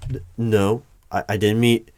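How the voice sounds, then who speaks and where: A man playing a formal, easily flustered hiring manager in an ASMR roleplay, speaking in measured, halting phrases. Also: on the low side, flat delivery, a little mellow, a little hiss or hum, lightly acted. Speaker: performer of a one-sided roleplay. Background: computer keyboard typing.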